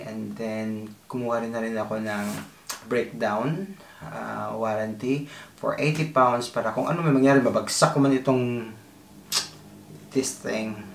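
Slow, gravelly Filipino man in an echoey kitchen. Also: medium pitch, slight background noise. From Philippines. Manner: mixes Tagalog and English, excitable, self-deprecating. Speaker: vlogger. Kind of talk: casual unboxing vlog. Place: small home kitchen, indoors.

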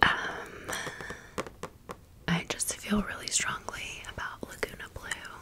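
Female ASMR creator, breathy whisper, very unhurried, chatty and rambling with dry playful asides. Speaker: ASMR content creator presenting toys. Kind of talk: whispered ASMR show-and-tell with casual chat.

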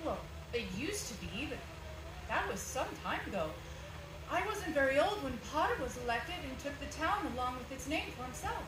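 A woman plays a wary, prim librarian in a stage radio play. Her voice is high and swings widely. It comes from far off in a noisy hall. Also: big pitch swings, moderate pace. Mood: hesitant. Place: small indoor theatre stage. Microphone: far camera from back of audience. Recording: distant, echoey, noisy theatre audience recording.

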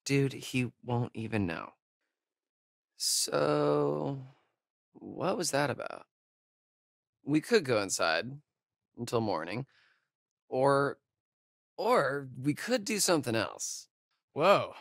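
An actor playing a joking, sardonic young camp counselor in a video game, with an American accent and a laid-back, slangy way of talking. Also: male voice, medium pitch, big pitch swings, slow pace, creaky, pauses often, a bit bright, dry recording, clean studio sound, heavily acted. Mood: coaxing, upbeat. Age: young adult. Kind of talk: naturalistic video game acting.

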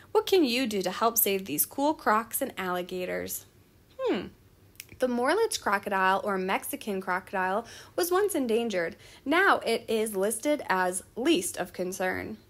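A woman reading a children's nonfiction book aloud in a teacherly manner. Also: mid-range voice.

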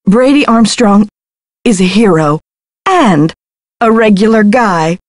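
Pompous pr agent lady in a game, draws it out slowly, grand and theatrical, very dry studio sound. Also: medium pitch, expressive, no room sound. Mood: grandiose. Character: pompous female publicity agent, showy and controlling. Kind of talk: video game voice acting.

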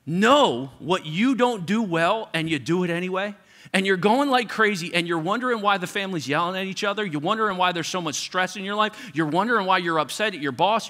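A middle-aged man preaches with a bright, forceful tone and a slightly high voice. He talks to the congregation like a friend, stacking repeated phrases as his intensity climbs. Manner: preaching with rising intensity and repetition, conversational asides. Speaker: pastor. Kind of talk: sermon.

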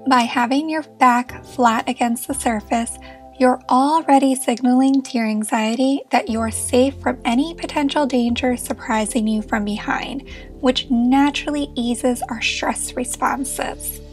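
A woman leading a guided meditation as a therapist, speaking in a gentle, reassuring and encouraging manner. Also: high voice, unhurried, faint music behind.

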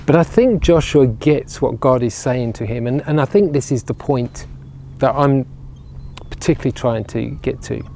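A man's voice: medium pitch, moderate pace, creaky, mellow, a little hiss or hum. A man, preaching earnestly to camera outdoors on a lapel mic, often repeating words as he builds a point. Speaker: regional minister. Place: outdoors by ruined castle walls on a sunny day. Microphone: clip-on lapel mic.

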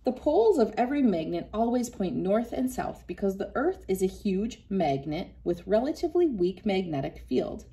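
A woman in her thirties with a mid-pitched voice reads a children's book aloud in an instructive way. She breaks off for casual asides to her young audience, speaking indoors in a small room a little way from the mic. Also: big pitch swings, moderate pace, hardly any pauses, warm tone. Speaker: children's read-aloud host.

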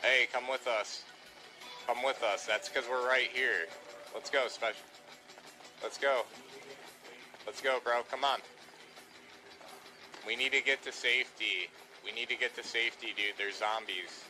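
A man talks through a roleplay game stream in a casual, informal way. He directs his squad like a bossy leader, often repeating his commands. Clear music and game sound play behind him. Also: medium pitch, steady tone, a little slow, pauses often, poor audio. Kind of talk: casual in-character gameplay chat.

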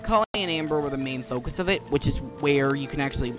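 A man's voice: fairly high pitch, fast. A man giving casual, rambling commentary while playing a video game. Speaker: gaming commentator. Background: game music.

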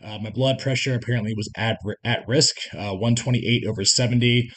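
A man's voice: mid-range voice, fairly even intonation, brisk, fairly bright tone. A man in his late thirties talks casually and frankly to the camera, often restarting sentences and hedging. He speaks from a home kitchen into a camera mic a short way off. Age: 30s. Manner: chatty, frank, restarts and hedges. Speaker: fitness vlogger. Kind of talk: casual vlog commentary.

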